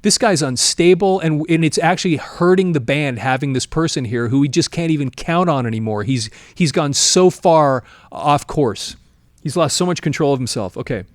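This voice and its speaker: A man's voice: fairly high pitch, normal speed, no room sound, clean studio sound. A man hosting a music history show, telling stories informally and reading quotes aloud in a chatty, often amused way.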